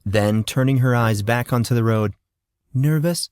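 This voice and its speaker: A man reading an audiobook in a steady, measured storytelling manner. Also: lowish voice, clean tone, no room sound. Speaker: audiobook narrator. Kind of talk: scripted audiobook narration.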